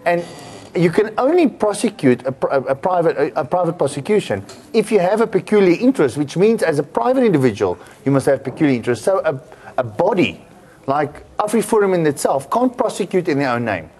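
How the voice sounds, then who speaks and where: A man's voice: a little high, big pitch swings, a little fast, darkish tone, breathy edge. A middle-aged man with a South African accent, explaining points as a legal commentator in a studio panel discussion.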